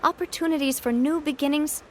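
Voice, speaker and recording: A woman playing a confident, kind young gang leader in an animated game, self-assured and friendly. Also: high-pitched, brisk, slight background noise, lightly acted. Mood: earnest, assured. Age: young adult.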